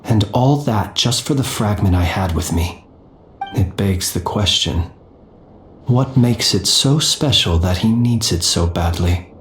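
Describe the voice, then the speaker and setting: An actor playing a composed, analytical gentleman soldier in a video game, speaking in a measured, formal, deliberate way. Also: fairly low pitch, roomy sound, slight background noise, close to an everyday voice. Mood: suspicious. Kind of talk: video game character dialogue.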